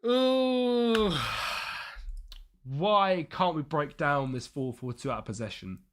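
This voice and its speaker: A young man with a bright, forceful voice, talking live over a game stream. He reads out chat messages and muses about tactics in a chatty, easygoing way. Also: on the high side, expressive, slow. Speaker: streamer playing a football management game.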